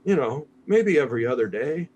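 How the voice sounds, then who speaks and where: A man's voice: mid-range voice, lively intonation, brisk, a little mellow, slight background noise. An American man talking casually as a podcast guest, candid and laid-back, swearing freely. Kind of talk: casual conversational interview.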